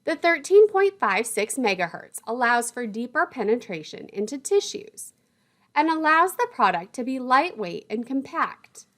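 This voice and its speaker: A woman's voice: on the high side, big pitch swings, moderate pace, a bit bright. A woman lecturer reading scripted instructional narration in a formal, precise manner.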